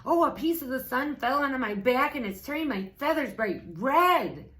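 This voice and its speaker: A woman's voice: high voice, lively intonation, moderate pace, a bit bright, voice pushed up. An American woman voicing a silly, haughty female storybook goose who cries out in dramatic alarm. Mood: frantic alarm.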